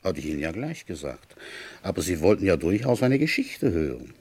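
A man playing a grumbling police inspector in a 1960s German radio play, telling an old case in a husky, conversational way. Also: sits low, sing-song, a little fast, a little mellow, exaggerated voice. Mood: wry, reflective.

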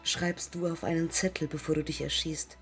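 A woman's voice: low-pitched, flat delivery, normal speed, over music. An actress playing a proud yet self-doubting woman aviator in a poetic radio play, confessing in clipped, terse fragments. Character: heroic, self-doubting young woman aviator who took her own life.

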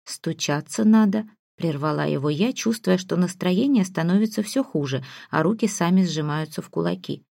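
A woman narrates a first-person novel in an expressive, scripted storytelling style. Her voice sits on the low side with a gravelly creak. The recording is dry, with no room around it. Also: fairly quick, few pauses, no echo. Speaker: audiobook narrator.

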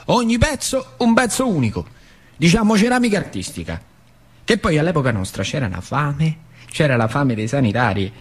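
An earthy old factory hand tells his memories in central Italian Lazio dialect. He is plain-spoken and emphatic, repeating phrases like a born storyteller in a theatre monologue, with a fairly high male voice. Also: very animated, brisk, breathy edge. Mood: animated.

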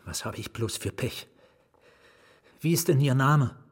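A breathy, secretive asylum patient. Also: male voice, mid-range voice, animated, unhurried. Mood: sly, wry. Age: middle-aged. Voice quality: breathy, often hushed. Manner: conspiratorial, probing, wry. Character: secretive, wry male asylum patient, a bitter novelist. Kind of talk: German radio drama acting.

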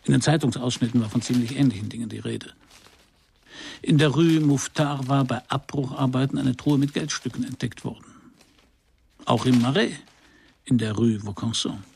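An actor playing a wry, hard-boiled private detective in a radio crime play, with a dry, laconic delivery. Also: male voice, mid-range voice, normal speed, vocal fry, pauses often, darkish tone, a little hiss or hum, lightly acted. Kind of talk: first-person crime radio play narration and dialogue.

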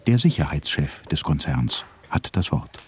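A man's voice: very deep voice, sing-song, moderate pace, very noisy. A man narrating a radio drama with ornate, slyly ironic phrasing. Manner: ornate, ironic listing delivery.

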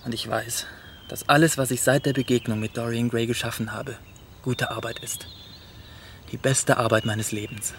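An actor in a German radio play voicing an earnest, sensitive painter with a confessional manner. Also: male voice, medium pitch, steady tone, moderate pace, fairly bright tone, slight background noise, lightly acted. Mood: devoted, earnest.

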